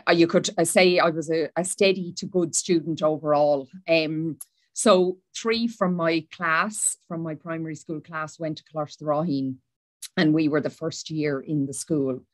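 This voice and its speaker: A woman's voice: deep voice, steady tone, no echo. A woman in her forties from Wicklow with an Irish accent, giving a prepared talk as a panel speaker on a webinar. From Ireland. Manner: measured, speaks Irish then repeats in English. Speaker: panel speaker, former pupil.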